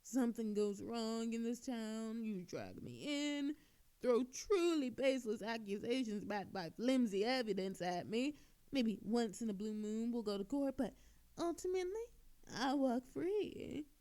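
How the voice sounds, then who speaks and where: A cocky, teasing female thief, acted by a woman in an audio drama, with a high voice that swoops widely. She drawls slowly and theatrically, flirting with smug relish. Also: expressive, dark tone. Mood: smug, suggestive.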